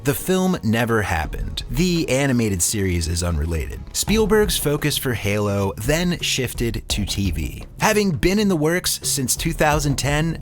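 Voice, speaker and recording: A man delivers scripted documentary narration as a voice-over with background music behind him. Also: medium pitch.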